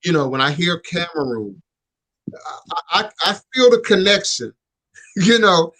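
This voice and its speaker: A man's voice: high voice, very animated, a little slow, bright, forceful tone, poor audio. A man hosting a radio show, talking reflectively in casual chat with frequent stammered restarts and "you know".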